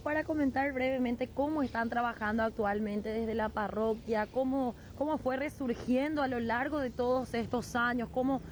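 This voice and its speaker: A woman's voice: on the high side, steady tone, moderate pace, hardly any pauses, a little hiss or hum. A woman reporter asking brief, prompting questions in a field interview.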